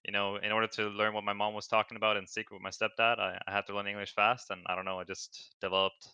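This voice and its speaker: A man's voice: fairly low pitch, little pitch movement, fast, bright, forceful tone, clean tone, no room sound, highs cut off. A Danish man who speaks English as a second language, an esports player chatting casually in an interview with many hesitations. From Denmark. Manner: hesitant, lots of fillers.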